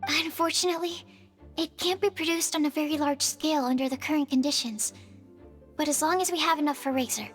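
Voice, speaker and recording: A woman's voice: high voice, fairly quick, natural voice. A woman playing a shy, earnest young female alchemist in a video game, speaking in a modest, precise, bookish way. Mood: proudly technical. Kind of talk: video game character dialogue. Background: faint music.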